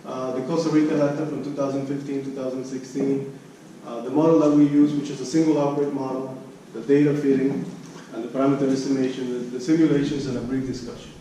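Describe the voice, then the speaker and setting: A very reverberant, distant recording of a man presenting research at a conference. His voice is soft-edged and mellow. He talks haltingly, often doubling back to restart a phrase, with faint noise around him. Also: medium pitch, flat delivery. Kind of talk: academic conference talk. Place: conference room. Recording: echoey room, distant mic, background noise.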